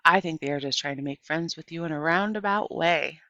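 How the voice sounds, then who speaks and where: A wise, kindly old storybook woman, as a woman narrator reads her, folksy and gently coaxing. The voice is bright, and the recording is dry and a bit dull. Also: low voice, fairly quick, no echo.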